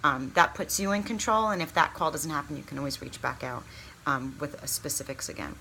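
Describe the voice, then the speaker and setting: A woman's voice: deep voice, a little fast, creaky, sharp tone, a little hiss or hum, poor audio. A woman giving an informal training talk in a chatty, candid, encouraging and emphatic way, like a coach talking to new consultants. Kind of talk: informal training talk with acted sample pitches.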